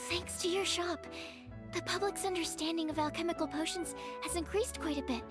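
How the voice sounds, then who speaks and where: A woman's voice: extremely high pitch, fairly even intonation, brisk, over music. An actress playing an earnest, polite young woman alchemy scholar in a video game cutscene. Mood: earnest, impressed.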